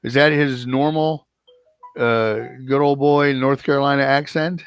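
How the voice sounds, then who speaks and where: A man's voice: medium pitch, fairly even intonation, measured pace, clean tone, dry recording, clean studio sound, faint music behind. A man co-hosting a video call chat, eager and tripping over his words as he talks. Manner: eager, stumbles and repeats words.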